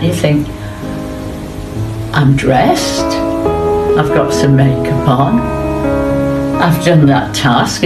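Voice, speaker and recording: A woman giving encouraging personal advice in a plain-spoken, reassuring way. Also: sits low. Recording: roomy, distant mic, music behind. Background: plucked guitar music.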